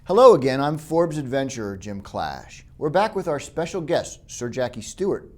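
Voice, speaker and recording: A man's voice: medium pitch, very animated, moderate pace, a little mellow. A man hosting an interview, eager and stumbling over his words as he asks questions. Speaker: interviewer and host.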